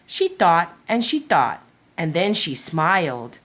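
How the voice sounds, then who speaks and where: A woman reads aloud to preschoolers from a picture book. She takes her time, shaping each phrase with big, animated swings of pitch in an expressive storyteller's manner. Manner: expressive, deliberate storytelling for young children.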